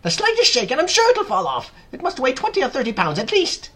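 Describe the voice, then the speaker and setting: A storyteller voicing a bossy, snappish, excitable aunt who shrieks and scolds in a children's storybook. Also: high voice, quick pace, voice pushed up, exaggerated voice. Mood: scolding snap.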